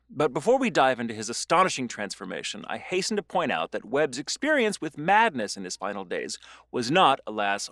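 A man's voice: mid-range voice, fairly bright tone, dry recording, studio quality. A man presenting a podcast, reading a scripted essay in an erudite, literary manner with conversational asides.

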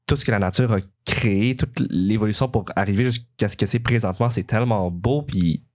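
A man's voice: fairly low pitch, fairly quick, a little mellow. A man with a Quebec French accent and a cold, chatting with enthusiasm and rambling a bit. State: has a cold. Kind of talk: casual chat.

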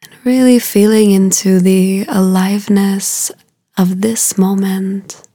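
A woman guiding a meditation in a soothing, hushed way, soft and breathy at the ends of phrases, with unhurried, drawn-out phrasing. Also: medium pitch, flat delivery, no room sound, studio quality. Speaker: meditation guide. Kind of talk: guided meditation.

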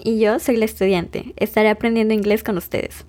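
A woman's voice: mid-range voice, flat delivery, fairly quick, a little mellow. A woman taking the student's part in a podcast English lesson, giving short, cooperative answers. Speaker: student co-host. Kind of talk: bilingual language lesson.

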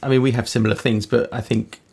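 A man's voice: mid-range voice, little pitch movement, quick pace, gravelly, darkish tone, breathy edge. A man hosting a podcast interview who asks questions hesitantly, repeating his words. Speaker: podcast host.

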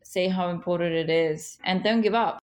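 A woman in her thirties, a native speaker of Russian and Ukrainian, answering interview questions in an earnest, encouraging way with slow, emphatic phrasing. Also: on the low side. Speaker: guest, author and business leader.

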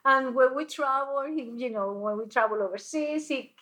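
A woman's voice: sits high, moderate pace, a bit bright. Laughing woman guest. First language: Spanish. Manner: laughs often, talks with restarts. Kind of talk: casual interview chat.

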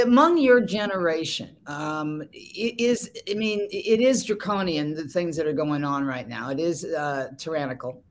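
Woman host asking halting questions. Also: deep voice. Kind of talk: interview host.

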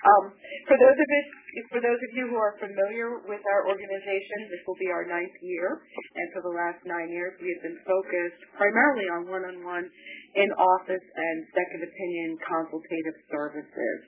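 A hoarse, sick woman doctor apologizing for her voice and describing her practice's consultations. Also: fairly low pitch, fairly even intonation, normal speed. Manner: apologetic, with "um" fillers. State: hoarse from illness. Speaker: geneticist presenting for a practice. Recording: phone-line audio with faint hiss.

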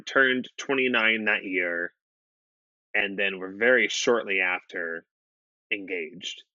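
A man in his late twenties with a bright, forward voice, talking loosely and circling back on himself with lots of "like" and restarts. The sound is close and dry, a little dull in the highs. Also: no echo. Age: late 20s. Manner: rambling with many restarts and fillers, swears casually. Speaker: podcast co-host. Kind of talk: casual chat.

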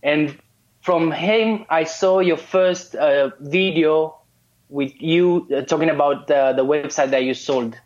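A man's voice: fairly high pitch, takes it slowly. A man from Romania, a guest in a casual interview, who talks hesitantly with many fillers and restarts. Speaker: guest, course student. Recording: muffled lo-fi call audio.

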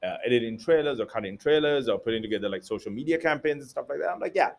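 A man's voice: medium pitch, animated, very fast, vocal fry. A man from Sri Lanka, an entrepreneur guest, answering interview questions casually with many fillers and restarts.

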